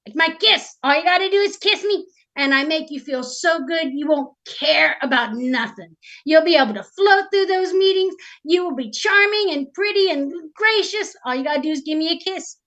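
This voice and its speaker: A woman voicing a small, cocky male talking frog in a fairy tale, a slick hustler with loud, slangy, wheedling talk. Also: high voice, lively intonation, moderate pace, pitched above the performer's own voice. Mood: wheedling, persuasive. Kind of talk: storytelling character voice.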